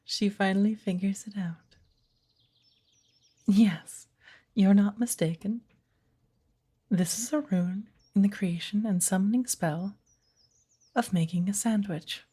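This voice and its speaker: A woman playing a stern, patient witch who teaches an apprentice in a soft, breathy ASMR roleplay, speaking in a measured, formal, commanding way. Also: medium pitch. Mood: wry. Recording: birdsong behind the voice. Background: birdsong, woodland ambience.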